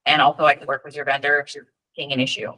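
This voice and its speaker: Woman staff presenter on a video call, bright forceful tone, casual and chatty, lots of ums while walking through her screen. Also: deep voice, little pitch movement, fast talker, poor audio. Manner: chatty and informal, frequent ums, friendly asides. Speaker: data team presenter at a state EMS registry meeting. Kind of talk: virtual meeting presentation with screen share.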